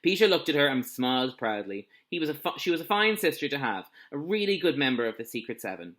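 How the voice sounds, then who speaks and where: A man reading a children's story aloud like a teacher at storytime, in an animated, expressive way. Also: fairly high pitch, quick pace.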